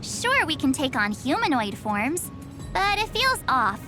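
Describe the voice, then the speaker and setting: A woman's voice: sits high, very animated, unhurried. A woman voicing a theatrical, girlish young woman, a playful goddess figure in a video game. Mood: cheerful, casual. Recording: loud music under the voice.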